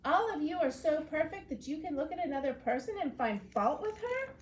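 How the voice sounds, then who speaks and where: A woman's voice: high-pitched, lively intonation, brisk, a little hiss or hum, voice pushed up. A woman voicing an elegant, earnest male schoolteacher from a storybook, speaking emphatically. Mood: indignant. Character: tall, elegant male teacher, admiring, firm defender.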